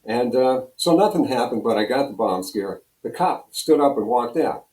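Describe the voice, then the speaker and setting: Older American man, mellow, roomy sound. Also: mid-range voice, lively intonation, normal speed. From United States, Pennsylvania. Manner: rambling, anecdotal. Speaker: radio program director and on-air personality, interview guest. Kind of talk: casual reminiscing storytelling. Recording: roomy, echoing room sound.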